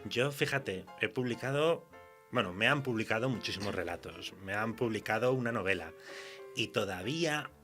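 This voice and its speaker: A man's voice: fairly low pitch, lively intonation, moderate pace, bright, forceful tone, faint music behind. A man with a Spain accent talking casually and colloquially as a writer being interviewed, telling anecdotes with some mild swearing. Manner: laughs while talking. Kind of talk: casual literary interview chat.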